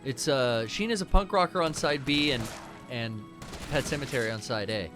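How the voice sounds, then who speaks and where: A man's voice: medium pitch, normal speed, over music. A man co-hosting a livestream, chatting casually and trash-talking the enemies in the game he plays. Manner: animated, swearing, trash-talks game enemies. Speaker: co-host playing a video game. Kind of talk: casual livestream chat and gameplay.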